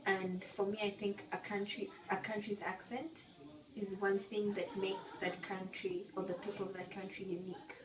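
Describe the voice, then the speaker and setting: A woman's voice: medium pitch, little pitch movement, normal speed, reverberant, distant mic, crowd noise, low-quality recording. A young woman from Uganda, a university student giving easygoing, reflective answers. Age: young adult. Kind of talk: casual street interview answers.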